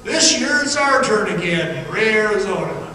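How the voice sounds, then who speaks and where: An actor playing a sinister, slyly knowing storyteller in a radio play, speaking with dramatic, ominous pauses. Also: mid-range voice, very animated, sharp tone, slight background noise. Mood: ominous, taunting. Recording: echoey stage hall, distant mic, music.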